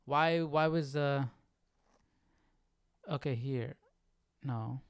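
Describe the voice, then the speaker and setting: A man's voice: mid-range voice, drawn-out words, clear, resonant tone, no room sound. Man, mid-range voice, casual livestream study host, thinking aloud, questioning and puzzled, dry close sound. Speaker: host of a live Bible study.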